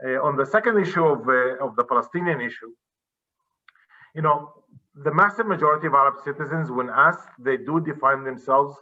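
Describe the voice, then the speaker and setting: A man explains his points in an earnest, measured way, his voice bright and forward. He is speaking over a video call, and the sound comes through dull and lo-fi. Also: medium pitch. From Israel. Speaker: presenter, director of a civic center.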